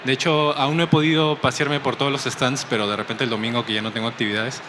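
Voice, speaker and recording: A man from Peru with a Peruvian Spanish accent, a comic artist guest talking softly and thoughtfully in a casual interview. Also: medium pitch, fairly even intonation, fast. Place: busy convention hall. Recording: noisy convention floor. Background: crowd chatter of a convention.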